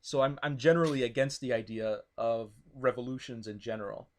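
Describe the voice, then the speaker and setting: A man's voice: mid-range voice, fairly quick, a little mellow. A man whose first language is not English, explaining his political ideas in a discussion, thoughtful, with many fillers and restarts. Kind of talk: casual discussion.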